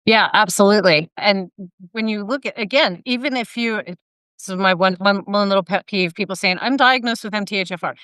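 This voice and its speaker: A woman hosting a podcast interview, talking in a blunt, emphatic, animated way. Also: medium pitch, fairly quick, clean tone, no echo, poor audio. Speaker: podcast host. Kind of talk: casual interview conversation.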